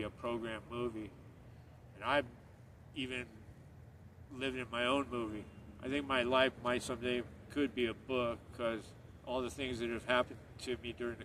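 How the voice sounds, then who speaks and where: Middle-aged man from Northern Ireland, rambling vlogger, monotone, recorded from off-mic outdoors. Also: mid-range voice. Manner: earnest, rambling, repeats himself. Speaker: channel host. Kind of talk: casual monologue to camera. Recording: distant mic, street noise.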